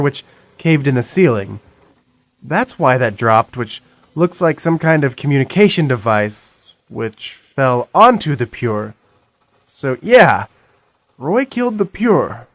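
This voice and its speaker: A man's voice: medium pitch, dry recording. Man playing a snarky, swooping sidekick. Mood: bewildered. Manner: sarcastic, excitable. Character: snarky, exasperated male guide and sidekick. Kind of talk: audio drama acting.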